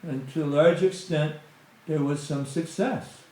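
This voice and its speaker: A middle-aged man speaks to a temple congregation in a loose, conversational way, dropping frequent "you know" fillers. His voice sits a little high for a man. It rings around a very echoey hall. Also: measured pace, mellow. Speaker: guest speaker at a temple satsang. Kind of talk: spiritual talk to a congregation.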